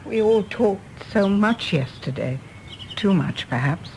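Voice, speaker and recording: A woman's voice: medium pitch, animated, a little slow, creaky, darkish tone, low-quality recording. Radio drama: courteous, evasive middle-aged woman with varied intonation, faint noise in the background. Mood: evasive, regretful. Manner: courteous, composed, deflecting. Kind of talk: radio play acting.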